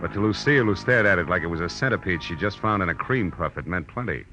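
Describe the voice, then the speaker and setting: An actor playing a hard-boiled, sardonic American private detective in 1940s radio crime drama style, with terse, tough talk. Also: male voice, low-pitched, expressive, quick pace. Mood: tense narration. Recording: muffled old radio recording, loud music.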